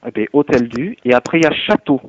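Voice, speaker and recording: A man's voice: medium pitch, expressive, fast, crowd noise. A man working as a hotel night receptionist, patiently and helpfully explaining things step by step over the telephone. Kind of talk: phone customer service, spontaneous conversation. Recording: tinny narrowband phone line.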